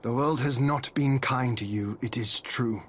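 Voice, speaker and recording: Video game noble: stern, remorseful, deliberate and grave, middle register. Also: male voice, mid-range voice, a bit bright, slightly rough. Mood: stern, regretful. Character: stern, sorrowful noble lord, judging and remorseful. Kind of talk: video game cutscene acting.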